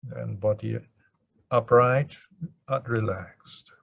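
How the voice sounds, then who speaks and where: A man speaking as a Buddhist meditation teacher, in gentle, unhurried devotional chanting or guided instruction with pauses. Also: mid-range voice, vocal fry, breathy edge, no echo. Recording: dull lo-fi recording.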